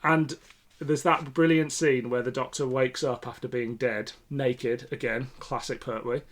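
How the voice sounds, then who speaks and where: A man's voice: medium pitch, steady tone, unhurried. A man co-hosting a podcast, talking casually with opinionated, sweary remarks in a review chat. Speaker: podcast co-host.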